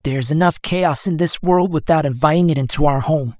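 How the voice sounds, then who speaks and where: A man's voice: a little high, fairly quick, a little mellow, no room sound, studio quality, pitched above the performer's own voice, natural voice. Man doing a folksy fretful young innkeeper. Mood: scolding.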